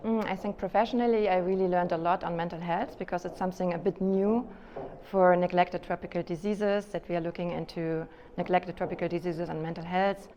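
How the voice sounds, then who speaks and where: A woman's voice: lowish voice, fairly even intonation, fairly quick, warm tone. A woman giving fluent, reflective answers about her work in an informal interview. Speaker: infection biologist. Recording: muffled sound with background noise.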